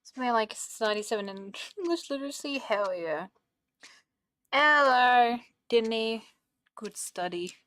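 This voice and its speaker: A woman's voice: on the high side, expressive, a little slow, fairly bright tone. A young woman chatting casually and rambling while she studies on a stream. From Australia. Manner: rambling, chatty, many "like" fillers.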